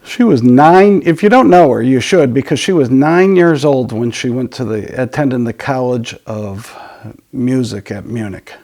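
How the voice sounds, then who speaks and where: A man hosting a casual audio talk, enthusiastic but halting, often trailing off and picking the thought up again. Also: mid-range voice, lively intonation, darkish tone. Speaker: audio dealer and show host. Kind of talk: casual product and music talk.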